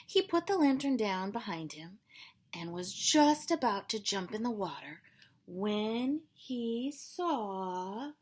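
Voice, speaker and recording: A woman's voice: medium pitch, sing-song, takes it slowly, breathy edge. A woman teacher reading a children's story aloud, expressively and dramatically, with explanatory asides. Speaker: elementary school teacher.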